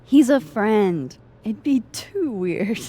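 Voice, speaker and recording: A woman playing a guarded, dry-witted young academic in a video game, husky and understated in delivery. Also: medium pitch, lively intonation, takes it slowly, warm tone. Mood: guarded, amused. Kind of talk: naturalistic video game dialogue acting.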